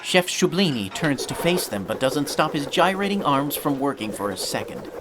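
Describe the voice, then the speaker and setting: A man's voice: on the high side, very animated, normal speed. A man reading scripted narration for a sci-fi audio drama, with some ambient noise behind him.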